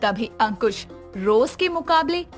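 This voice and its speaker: Woman narrator, high voice, animated intonation, scripted storybook reading, music bed. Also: measured pace.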